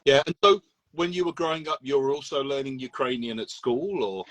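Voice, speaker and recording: A man interviews a guest in a relaxed, curious way, asking follow-up questions. His voice sits at a medium pitch and he often fills in a word when she is stuck. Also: brisk, dry recording, poor audio. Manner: curious, prompting, supplies words. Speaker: interviewer host.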